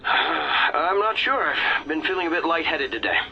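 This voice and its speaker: A man's voice: on the high side, expressive, normal speed, creaky, dull audio, a little hiss or hum. An actor in a radio drama playing an unwell, lightheaded male celebrity doctor who speaks in a weak, hesitant way. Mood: dazed, unsteady. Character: faltering, sickly celebrity doctor on television.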